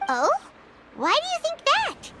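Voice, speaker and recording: A tiny, excitable fairy sidekick for a video game, with an extremely high, childlike squeak. The delivery is lively and swooping, earnest and full of curiosity. Loud game sound runs behind it. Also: female voice, unhurried, very noisy, full character voice. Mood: excited, questioning. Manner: speaks of herself in the third person, exclamatory. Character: small childlike female fairy companion, earnest, excitable, inquisitive. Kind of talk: animated video game voice acting.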